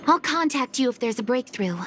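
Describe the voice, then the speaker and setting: A woman playing a steady, helpful young investigator in an anime game, speaking in a measured, businesslike way. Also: high-pitched, a little hiss or hum. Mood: helpful, composed.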